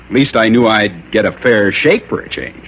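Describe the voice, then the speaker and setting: An actor playing a cocky, shifty suspect in a radio crime drama, slangy and defensive. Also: male voice, on the low side, moderate pace, a bit bright. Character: cocky, shifty small-town young tough under suspicion. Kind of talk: old-time radio crime drama acting. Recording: muffled, noisy old radio broadcast.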